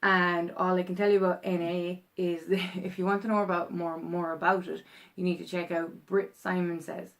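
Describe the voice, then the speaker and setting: A woman's voice: lowish voice, level tone, normal speed. A young Irish woman vlogging in a rambling, chatty way, full of ums and restarts as she explains a process. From Ireland. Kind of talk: casual explainer vlog.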